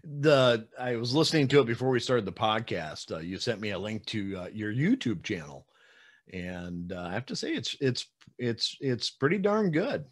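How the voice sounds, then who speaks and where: A man in his forties from South Dakota with an Upper Midwest American accent, hosting a podcast over a video call and chatting in a rambling way, often clearing his throat. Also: mid-range voice, expressive, moderate pace. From South Dakota, United States. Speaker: podcast host. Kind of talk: casual podcast interview. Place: home study with bookshelves.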